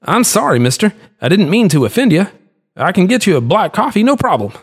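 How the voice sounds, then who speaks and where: Man playing a polite, soothing stall merchant, a bit higher, audiobook. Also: very animated, brisk, slightly rough, exaggerated voice. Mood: placating. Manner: placating, polite. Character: patient male market food merchant.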